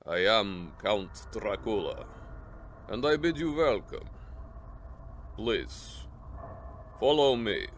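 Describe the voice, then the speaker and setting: A sinister vampire nobleman, speaks with ceremonious courtesy. His delivery is formal and deliberate, in radio drama style, over a faint bed of background noise. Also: lowish voice, lively intonation. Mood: formal welcome.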